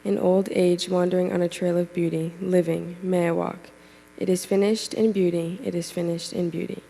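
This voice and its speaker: A woman leading a prayer for her church community, reciting a rhythmic litany calmly. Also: on the low side, moderate pace, creaky, slight background noise, low-quality recording. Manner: calm, repetitive, chant-like delivery. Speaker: congregation member leading a closing prayer.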